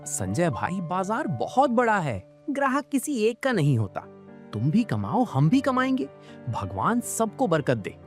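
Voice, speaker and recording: A woman voicing an honest, humble, good-natured male village food seller in an animated storybook tale. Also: mid-range voice, unhurried, soft background music. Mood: friendly, calm. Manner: earnest, conciliatory. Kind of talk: animated Hindi storybook dialogue.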